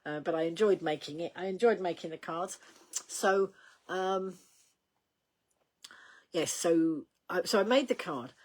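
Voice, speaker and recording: An older woman hosting a casual livestream, rambling in a chatty way with many ums and small laughs, her voice going slightly croaky. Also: fairly low pitch, unhurried, long pauses, darkish tone. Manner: chatty, rambling, reads out viewer comments, frequent ums and laughs. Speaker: livestream host.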